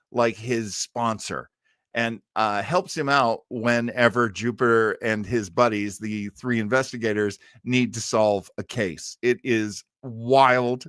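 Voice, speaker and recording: A man's voice: mid-range voice, fairly bright tone, no echo, clean studio sound. A man in his fifties hosting a livestream with casual, enthusiastic, rambling commentary. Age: 50s. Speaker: livestream host.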